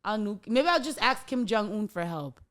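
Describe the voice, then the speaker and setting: A woman's voice: mid-range voice, expressive, moderate pace, fairly bright tone. A woman from Nigeria, chatting bluntly and jokily as a podcast guest. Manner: blunt, giggly, loose and jokey. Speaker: guest, performance artist. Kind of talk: casual podcast chat.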